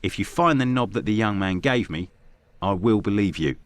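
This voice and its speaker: An actor playing a frank, measured Victorian clinic doctor in a video game, formal and matter-of-fact. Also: male voice, fairly low pitch, expressive, lightly acted. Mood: frank, reasonable.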